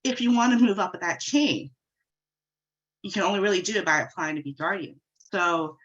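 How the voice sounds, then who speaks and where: Woman, attorney, congested from a cold, very low voice, conversational, dull lo-fi call audio. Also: normal speed, a bit bright. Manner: conversational explaining, walks through slides. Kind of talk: legal webinar presentation.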